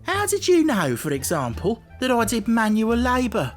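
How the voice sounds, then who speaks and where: A man's voice: extremely high pitch, wildly swooping pitch, moderate pace, faint music behind, pitched above the performer's own voice, exaggerated voice. A man voicing a stout, plain-spoken and gullible red-headed pawnbroker in an audiobook story, blustery and given to exclaiming. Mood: astonished.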